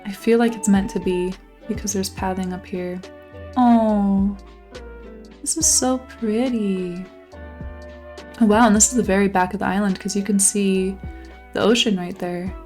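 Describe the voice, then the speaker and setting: A woman's voice: mid-range voice, steady tone, measured pace, long pauses, a little mellow, faint music behind. Bubbly young woman, slightly losing her voice. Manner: bubbly, gushing, exclamatory. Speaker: channel host touring a game island. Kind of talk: casual gameplay commentary.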